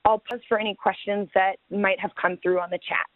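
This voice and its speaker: A woman's voice: mid-range voice, flat delivery, fairly quick, dry recording. Woman presenting over a narrow phone-like line. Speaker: webinar presenter. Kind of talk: prepared formal presentation. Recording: thin, dry phone-like line.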